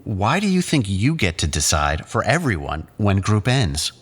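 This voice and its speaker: A man playing a controlling psychiatrist in an audio drama, speaking in a measured, clinical, patronising way. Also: fairly low pitch, wildly swooping pitch, a bit bright, slightly rough, full character voice. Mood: stern, coaxing. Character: condescending, controlling male psychiatrist leading group therapy.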